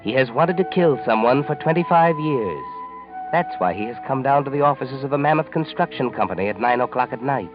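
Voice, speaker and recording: A dull vintage radio recording with music behind carries an actor playing a sinister American male narrator. He knows every dark secret and delivers it in a low voice, deliberate, ominous and taunting, in classic 1940s mystery style. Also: natural voice.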